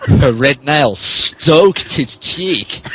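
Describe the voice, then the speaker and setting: A man's voice: on the high side, animated, drawn-out words, fairly bright tone, a little hiss or hum, low-quality recording. A man, a podcast host, narrating a comic dramatic reading of fan fiction theatrically and stumbling over the text.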